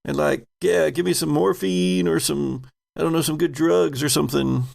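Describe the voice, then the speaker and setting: A man's voice: on the high side, steady tone, normal speed, creaky, a little mellow, voice pushed up. A man voicing an injured soldier who pleads hesitantly in a tabletop game. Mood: pleading. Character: injured male soldier whining for painkillers.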